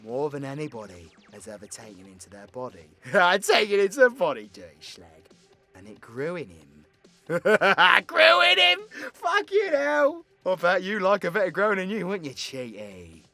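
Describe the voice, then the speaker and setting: Man playing a swaggering British supervillain, animated delivery. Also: medium pitch, unhurried, heavily acted. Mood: gleeful, crude. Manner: rambling, teasing, dramatic storyteller, sweary. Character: cocky, foul-mouthed, flirtatious male supervillain, theatrical and tipsy. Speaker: audio roleplay voice actor. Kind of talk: villain ASMR roleplay monologue. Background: background music.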